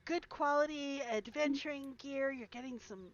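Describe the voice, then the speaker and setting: A woman's voice: high voice, moderate pace, dull audio. A woman voicing a gossipy common villager who rambles, scoffs and trails off mid-sentence. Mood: grumbling gossip.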